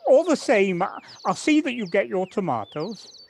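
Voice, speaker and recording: A woman's voice: mid-range voice, sing-song, moderate pace, gravelly, slightly rough, heavily acted. A woman acting a kindly but evasive, hesitant village woman in a home-recorded audio play. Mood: flustered, evasive. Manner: hesitant, faltering. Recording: home recording on personal device, faint noise.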